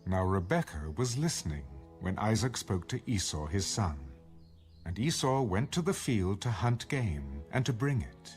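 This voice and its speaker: A man narrates scripture in a storytelling style. His voice is low and lively in pitch, with measured phrasing. Music is clearly heard beneath him. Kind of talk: scripted audio Bible narration. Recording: background music under the voice.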